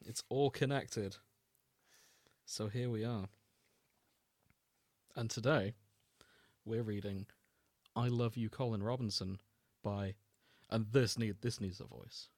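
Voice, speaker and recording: A man's voice: mid-range voice, fairly even intonation, slow, pauses often, no room sound, studio quality. A man hosting a podcast, chatting casually and jokily with the odd mock-announcer aside. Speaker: podcast host. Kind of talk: casual comedic podcast chat.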